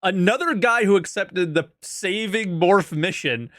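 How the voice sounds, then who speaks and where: A man's voice: high-pitched, measured pace, fairly bright tone, no echo, clean studio sound. A man hosting a reaction video, chatting casually and laughing often at what he watches. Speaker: reaction host.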